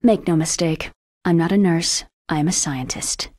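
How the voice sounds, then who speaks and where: No-nonsense research doctor for a game cutscene: lowish female voice, precise and detached, dry close recording. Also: measured pace, a little mellow, dry recording. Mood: curt. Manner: crisp, matter-of-fact, clinical. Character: cool, brisk female military scientist. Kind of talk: video game cutscene acting.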